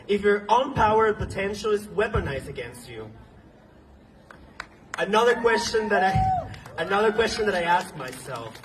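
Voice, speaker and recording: A man's voice: sits high, a little hiss or hum. Man speaking at an outdoor rally. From Venezuela. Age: young adult. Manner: earnest, halting at times, with ums and restarts. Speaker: speaker at a protest march. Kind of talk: impassioned rally speech. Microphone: phone recording from the crowd. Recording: distant phone recording outdoors.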